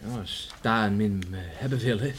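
A man acting an affectionate grown son with a gentle, sometimes hesitant manner in a radio play. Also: lowish voice, moderate pace, natural voice. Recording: slightly noisy old radio recording.

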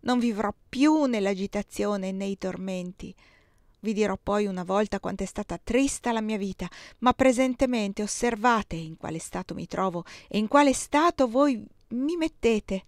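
A woman reading the lines of an impassioned young nobleman in love, pleading with rising pitch. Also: moderate pace, dry recording, clean studio sound, close to an everyday voice. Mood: anguished. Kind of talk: audiobook dialogue reading.

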